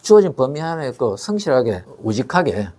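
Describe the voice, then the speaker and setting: An older man speaking sits as the expert guest and lays out his points in a steady, measured way. He pauses on soft fillers and often turns his explanations into rhetorical questions. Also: medium pitch. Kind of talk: explanatory interview talk.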